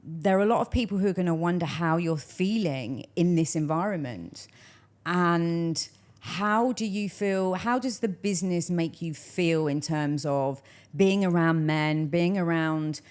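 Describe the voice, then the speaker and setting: Low-pitched woman hosting an interview. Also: a little slow, darkish tone. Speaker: interview host.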